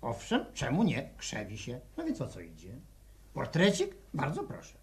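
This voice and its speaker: A man's voice: medium pitch, wildly swooping pitch, normal speed, darkish tone. An actor playing a fawning, fussy travelling portrait salesman in a 1960s radio drama, with wheedling, hesitant patter. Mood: wheedling, defensive.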